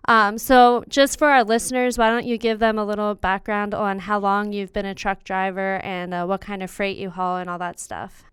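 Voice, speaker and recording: A woman's voice: medium pitch, flat delivery, normal speed, hardly any pauses, fairly bright tone, clear, resonant tone, dry recording, studio quality. A young woman co-hosting a podcast in a casual, chatty style. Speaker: podcast co-host. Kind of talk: casual banter and interviewing.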